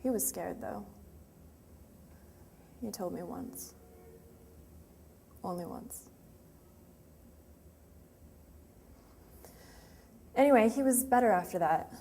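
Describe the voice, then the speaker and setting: A woman's voice: mid-range voice, a little slow, pauses often, mellow. An actress playing a grieving young woman remembering her lost sweetheart in a hesitant, emotional, confessional monologue. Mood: subdued, sad. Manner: hesitant, emotional, with trailing phrases. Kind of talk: dramatic monologue, confessional and conversational. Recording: clearly noisy room ambience.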